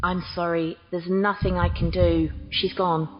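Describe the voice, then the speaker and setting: A grave woman doctor in a radio drama, played by an actress, attending a patient. Her voice is fairly low and dulled, with music clearly playing behind. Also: flat delivery, unhurried, muffled recording, natural voice. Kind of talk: radio play acting.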